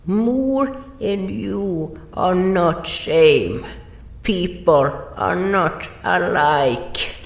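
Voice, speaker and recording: Audio drama creature, timid and loyal played by a man: high-pitched, very slow, halting broken English, roomy echo. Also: animated, vocal fry, full character voice. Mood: scolding, earnest. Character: timid, loyal creature narrator-hero, pleading and earnest.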